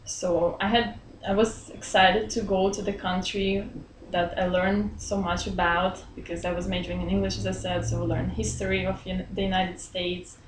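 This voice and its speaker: Woman, 30s, from Belarus, friendly, laughs often, pauses for words, small office, webcam, roomy echo. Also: on the low side, level tone. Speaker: interviewee. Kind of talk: casual interview chat. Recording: roomy echo, faint room hum.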